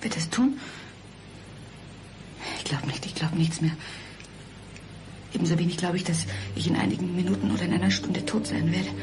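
An actress playing a frightened, resigned mother in a German radio crime drama, speaking in a subdued, often breathy way. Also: lowish voice, steady tone, normal speed, pauses often, natural voice. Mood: despairing. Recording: studio radio drama with music.

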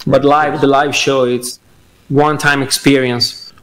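A man's voice: mid-range voice, level tone, a little slow, a bit bright, poor audio. A young man, a guest rock singer, talking hesitantly with many fillers over a headset on a video call. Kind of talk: casual interview chat. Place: home room.

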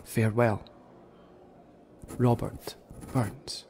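A dying Scottish poet in a radio play. He speaks in a middling pitch, formal, measured and earnest, as if reading a farewell letter. Faint ambience sits behind him. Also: flat delivery, gravelly, a little mellow, a little hiss or hum. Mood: solemn, resigned.

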